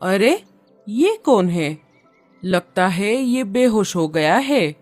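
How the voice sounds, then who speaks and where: A woman's voice: mid-range voice, big pitch swings, slow, darkish tone, no room sound. A woman voicing a shrewd, motherly old female bird in a cartoon, reassuring and full of instructions. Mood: worried. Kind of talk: cartoon dialogue. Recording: music under the voice.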